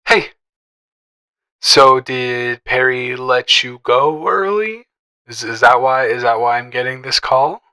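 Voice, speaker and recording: Guy playing a teasing best friend type, bright voice, kinda shy n stammery, flirty audio roleplay, chatty. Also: mid-range voice, lively intonation, smooth, full character voice. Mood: playful, teasing. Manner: playful, teasing, halting with stammers and chuckles. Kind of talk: improvised, conversational romantic audio roleplay.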